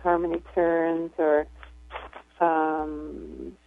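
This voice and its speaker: A woman violist with a low speaking voice, a radio guest talking thoughtfully with many fillers over the phone. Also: level tone, extremely slow, creaky, a little hiss or hum. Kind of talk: casual interview chat. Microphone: phone call. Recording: tinny phone line.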